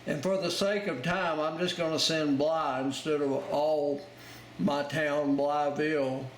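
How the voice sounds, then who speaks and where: Man, slightly high voice, unhurried plainspoken instructor, step-by-step tutorial, very noisy recording. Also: steady tone. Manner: plainspoken, step-by-step, explains each action as he goes. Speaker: amateur radio Morse code instructor. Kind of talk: informal hands-on tutorial narration. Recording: roomy, very noisy, with clicking and beeps.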